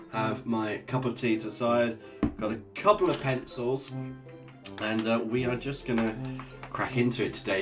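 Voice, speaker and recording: A man hosting a casual drawing vlog, chatty and jokey as he sketches and talks. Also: medium pitch. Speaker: art channel host. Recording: guitar music playing underneath. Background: guitar music.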